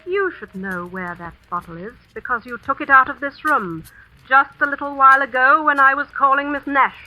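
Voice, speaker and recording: An actress playing a cold, scheming schoolmistress in a vintage radio suspense drama, deliberate and sardonic in manner. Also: female voice, high voice, highs cut off, close to an everyday voice. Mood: cold accusation. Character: cold, commanding schoolmistress, accusing and scheming, secretly a murderess. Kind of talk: 1940s radio suspense acting. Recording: noisy old radio drama recording.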